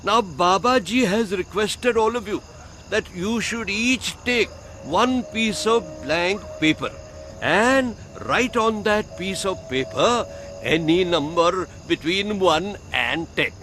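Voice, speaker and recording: Slow, high-voiced Indian attendant. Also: male voice, sharp tone, slight background noise, full character voice. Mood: earnest. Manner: halting, polite, announcing. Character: deferential holy man's attendant addressing a gathering. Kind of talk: radio drama acting.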